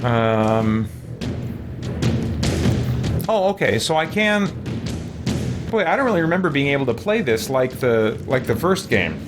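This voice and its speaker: A man's voice: medium pitch, very animated, measured pace, long pauses, music in the background. A man giving casual gameplay commentary, musing and talking to himself as he goes. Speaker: gaming let's-play host.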